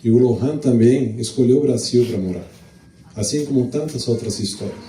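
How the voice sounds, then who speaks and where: A middle-aged man from Venezuela, hosting a launch event in a relaxed, chatty way with restarts, speaking into a handheld mic in a hall. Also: mid-range voice, recorded from a distance. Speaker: event host. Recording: echoey hall, mic some way off.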